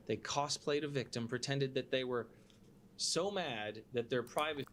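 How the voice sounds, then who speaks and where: Guy video creator talking at his audience, earnest and indignant, mid pitch, bit of noise behind him. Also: unhurried, fairly bright tone. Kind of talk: monologue addressed to viewers.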